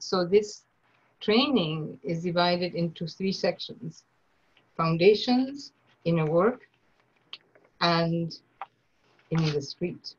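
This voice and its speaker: Argentine woman, low voice, unhurried trainer on a muffled video call. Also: slight background noise. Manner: slow, deliberate, earnest delivery. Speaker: nonviolence trainer. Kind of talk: online workshop teaching. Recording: dull lo-fi online audio.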